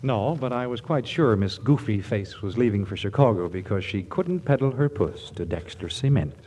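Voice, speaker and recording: An earnest young company head, hesitant one moment and brisk the next. His mid-pitched voice moves with animated melody. Vintage 1940s radio comedy recording. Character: young male cement-company boss, earnest and flustered. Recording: old radio broadcast recording.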